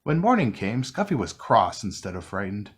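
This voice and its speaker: A man narrates a classic children's story in a read-along style. His voice sits on the low side, yet it rises and falls a great deal as he reads gently to young listeners. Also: moderate pace, breathy edge. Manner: gentle, expressive reading. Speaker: storybook reader.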